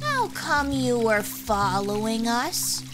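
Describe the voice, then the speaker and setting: A woman voicing a brash, energetic female sea-dweller warrior in a fantasy audiobook, blunt and excitable, over music. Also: fairly high pitch, slow pace. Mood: wary, blunt. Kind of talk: audiobook character voice.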